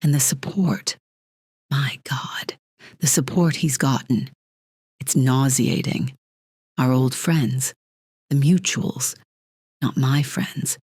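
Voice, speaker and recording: Audio drama lead, female actor: imperious, controlling woman executive, clipped and measured delivery, low breathy register. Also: fairly even intonation, darkish tone, no room sound, clean studio sound, full character voice. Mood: bitter, contemptuous. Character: cold, controlling female corporate executive, divorced, armor cracking.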